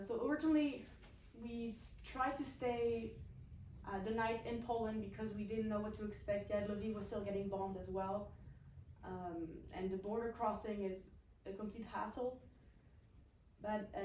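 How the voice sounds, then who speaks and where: A young woman from Switzerland, not a native English speaker, giving a halting personal account as a student speaker at an event. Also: medium pitch, darkish tone. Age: young adult. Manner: halting, pausing mid-phrase. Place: indoor event room at a college. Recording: roomy, distant mic, faint noise.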